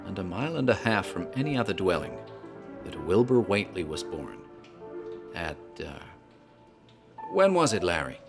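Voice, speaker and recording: An actor playing a talkative tavern landlord who tells a grim tale in a deliberate, theatrical horror drama style. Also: male voice, low-pitched, sing-song, music in the background. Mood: ominous storytelling. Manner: deliberate, theatrical storyteller, literary wording. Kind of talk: dramatized horror storytelling, scripted narration.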